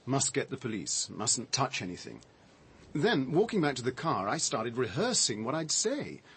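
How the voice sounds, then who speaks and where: An actor playing a shaken, defensive man with a halting, reflective, dry delivery in a radio drama. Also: mid-range voice, wildly swooping pitch, fairly bright tone, a little hiss or hum. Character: shaken man recounting his discovery, defensive, sardonic.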